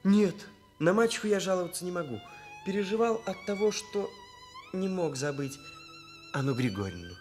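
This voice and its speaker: A man's voice: high voice, lively intonation, moderate pace, music in the background. A man playing an earnest, sensitive young hairdresser with formal, slightly self-important phrasing, in a Soviet radio drama. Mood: sorrowful, confiding. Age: young adult. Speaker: actor in a radio play.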